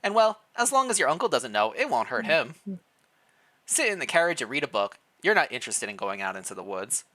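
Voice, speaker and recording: A streamer reading fan fiction aloud in a playful, expressive way, mixed with casual livestream chat. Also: mid-range voice, brisk.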